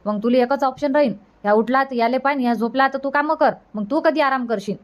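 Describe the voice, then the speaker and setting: A woman's voice: high-pitched, fast, lightly acted. A woman voicing a caring, practical village mother in a cartoon comedy, chatty and advising, with a rural Vidarbha Marathi accent. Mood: gently scolding.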